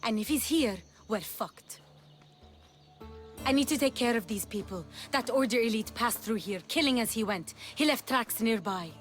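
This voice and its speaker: A woman's voice: fairly high pitch, animated, normal speed, close to an everyday voice. An actress playing an earnest, protective young refugee woman in a video game cutscene. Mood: concerned. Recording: faint music behind the voice.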